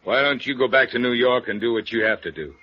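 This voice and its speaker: A man's voice: mid-range voice, fast, lightly acted. An actor playing a big, evasive henchman in a 1950s radio drama, curt, stonewalling and menacing. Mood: cold, dismissive. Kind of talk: 1950s radio noir acting. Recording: muffled old radio recording.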